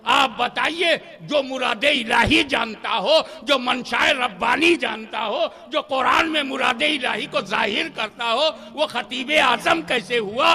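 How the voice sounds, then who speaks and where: A man delivering an impassioned religious speech, shouting rhythmic refrains to a gathering. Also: extremely high pitch, measured pace, few pauses. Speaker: Islamic preacher.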